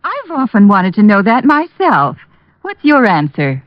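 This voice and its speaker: A woman's voice: mid-range voice, huge pitch swings, measured pace, no echo. A woman playing a curious, eager customer in a 1940s radio commercial. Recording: muffled vintage radio recording.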